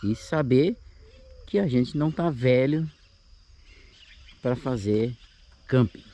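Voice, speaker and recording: A man's voice: mid-range voice, slow, warm tone, a little hiss or hum. A man in his sixties with a Brazilian accent, narrating a casual camping vlog outdoors. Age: 60s. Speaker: camping vlogger. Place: outdoors on a grassy lakeside campsite.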